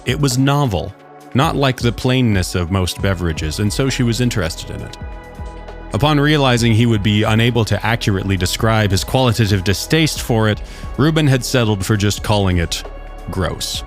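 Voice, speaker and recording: A man's voice: fairly low pitch, expressive, moderate pace, faint music behind. A man reading a scripted story aloud as its narrator.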